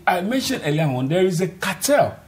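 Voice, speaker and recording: A man's voice: high voice, big pitch swings, a little fast. A man, an importer on a TV panel, who starts hesitantly and then argues with rising emphasis. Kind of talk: panel discussion.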